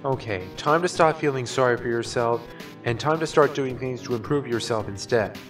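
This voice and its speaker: Guy acting a blunt lecturing type in a comic dub, bit distant, music clearly behind him. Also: medium pitch, moderate pace, a bit bright, close to an everyday voice. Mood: stern, exasperated.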